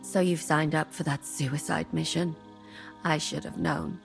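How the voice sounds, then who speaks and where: A woman's voice: deep voice, fairly even intonation, unhurried, gravelly, soft background music, full character voice. A woman playing a bitter, emotionally wounded young medieval woman in a game, weary and full of feeling. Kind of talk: dramatic game cutscene acting.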